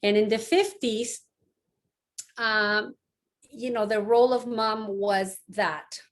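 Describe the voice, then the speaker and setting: A woman's voice: fairly high pitch, fairly even intonation, slow pace. A middle-aged woman from Mexico, a psychologist giving an online talk to parents, speaking casually with fillers and restarts. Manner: conversational, many fillers and restarts. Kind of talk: lecture-style online talk. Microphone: online talk, close mic.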